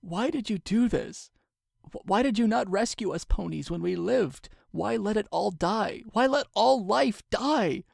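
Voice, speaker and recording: Casting: male reader as young pony princess for story drama; raised pitch, emotional, urgent, indignant pleading. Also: expressive, measured pace, vocal fry, darkish tone, dry recording, clean studio sound, exaggerated voice. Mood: screaming anguish. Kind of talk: dramatic fan fiction reading.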